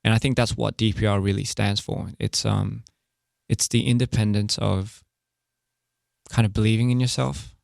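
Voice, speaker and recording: A young man from Australia with a low voice and a gravelly, fry-edged texture. He talks in a laid-back, halting way, often pausing to find his words. The studio sound is dry and close. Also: steady tone, darkish tone, no room sound. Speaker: musician guest. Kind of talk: casual radio interview. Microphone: studio microphone.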